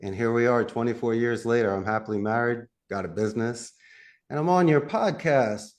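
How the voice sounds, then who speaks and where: A man with a Philadelphia accent, a call center CEO giving animated, emphatic interview answers with lively pitch swings and frequent laughs. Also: a little fast.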